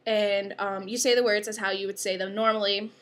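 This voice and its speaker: Bright-voiced chatty young woman vlogger. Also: medium pitch, little pitch movement, fairly quick. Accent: upstate New York. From upstate New York. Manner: chatty, rambling with many fillers. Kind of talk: casual accent tag video.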